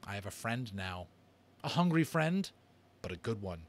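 A man voicing a lone stranded boy in a story, intense and halting, repeating his words. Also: lowish voice, big pitch swings. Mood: quiet wonder. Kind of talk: dramatic audiobook character reading.

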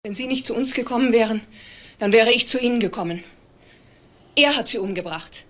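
Distraught middle-aged woman female actor, crime drama, higher register, emphatic and accusing. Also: brisk, close to an everyday voice. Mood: accusing, vehement. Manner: emphatic, insistent. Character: bitter, accusing woman named Lisbeth, vehement and distraught.

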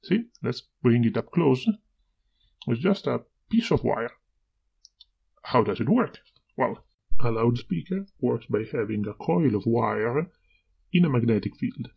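A man presents an informal, hands-on tutorial in a mid-pitched voice. His tone is husky with a gravelly edge of fry. The audio is somewhat dull, like a homemade video. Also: expressive, measured pace, long pauses. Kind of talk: informal hands-on demonstration and explanation.

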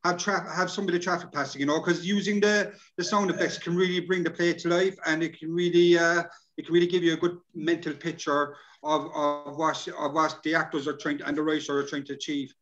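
An Irish man with a fairly high voice presents a workshop in a chatty, informal way. He often repeats little checking phrases like "you know". The sound is dull and lo-fi, as on a video call. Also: level tone, brisk, fairly bright tone. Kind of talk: informal instructional talk. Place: indoors, plain room on video call.

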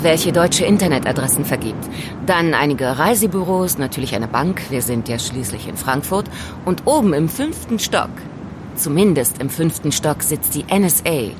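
A woman's voice: low-pitched, background noise. A woman playing an elegant, composed young informant in an audio drama, explaining things in a teasing, didactic way. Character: elegant, self-assured young woman, calm knowing informant. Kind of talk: German audio drama acting.

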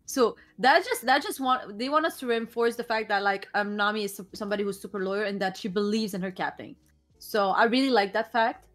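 A young woman from Lebanon with a Lebanese French accent, chatting casually and excitedly on a live stream, often restarting and repeating her words. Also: medium pitch, fairly quick, soft background music. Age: young adult. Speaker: co-host reacting to an anime episode. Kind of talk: casual chat. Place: small bedroom streaming setup, indoors.

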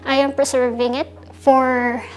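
A woman's voice: high voice, little pitch movement, a little slow. A woman of twenty-two, speaking carefully with some hesitation in an interview.

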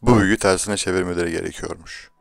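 A man's voice: low-pitched, fairly even intonation, rapid-fire, gravelly, dry recording, studio quality, soft background music. A man reading a scripted fairy tale to children as a storyteller.

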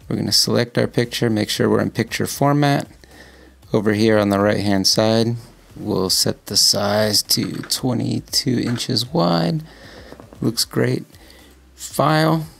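Guy slowly talking thru a computer how-to, super creaky low voice, little music underneath. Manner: relaxed, walks through clicks, playful sound effects. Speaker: DIY show co-host. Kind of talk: screen-recording voice-over tutorial.

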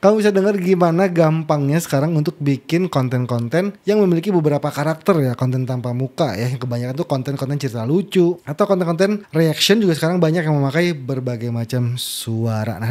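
Mellow, higher-pitched tutorial host. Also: male voice, lively intonation, brisk, few pauses. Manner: chatty, frequent "guys" and "ya" tags. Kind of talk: casual software tutorial. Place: small indoor home studio. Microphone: close desk microphone.

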